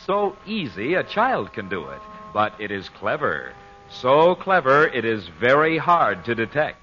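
A man announcing and narrating an old-time radio show in a theatrical, deliberate, emphatic scripted style. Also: medium pitch, bright, forceful tone. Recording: dull old radio recording, faint music.

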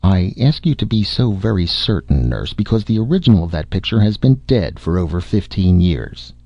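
Man reading as a gruff, dry doctor, low-voiced. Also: brisk, mellow. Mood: grave. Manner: dry, grumbling, resentful. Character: gruff, dry, grave male family doctor. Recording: muffled audio in echoey room.

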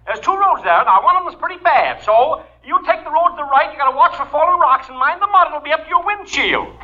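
Squeaky, dithering country constable. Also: male voice, expressive, quick pace. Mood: flustered, rambling. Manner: hesitant, muttering, rambling. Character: dithering old rural police constable, folksy and exasperating. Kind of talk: 1940s radio comedy acting. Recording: muffled 1940s radio broadcast.

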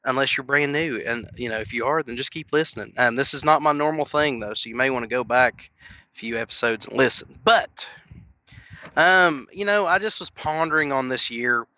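Young man with a cold, rambling casual podcast rant, bright tone. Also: mid-range voice, normal speed. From Knoxville, Tennessee. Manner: rambling, filler-heavy, earnest. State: sniffly from a cold. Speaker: podcast host.

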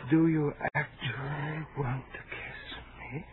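An actor playing a lonely, obsessive admirer, intense and bitter, in a 1940s radio suspense drama. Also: male voice, fairly high pitch, steady tone, slow. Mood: hushed, disbelieving. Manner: intense, swinging from hushed coaxing to bitter outbursts. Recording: narrow, scratchy 1940s radio recording.

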